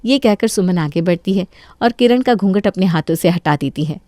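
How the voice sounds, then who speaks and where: A woman's voice: lowish voice, lively intonation, normal speed, warm tone, no echo. Woman narrating a story, dry sound. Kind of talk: scripted Hindi story narration.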